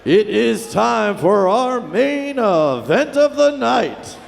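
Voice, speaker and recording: A man's voice: very high voice, very animated, slow pace, creaky. A man working as a ring announcer, giving ceremonial, theatrical fight introductions with stretched-out words over an arena PA. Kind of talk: ceremonial fight introductions, drawn-out shouted names. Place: indoor arena. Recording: echoing arena PA with music, crowd. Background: music and crowd.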